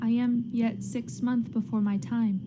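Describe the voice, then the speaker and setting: A woman's voice: on the high side, level tone, a little slow, warm tone, slight background noise, natural voice. A woman playing a guarded, steadfast Puritan farmer's wife in a period radio play, speaking in a subdued, formal way. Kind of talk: period stage drama acting in a radio play.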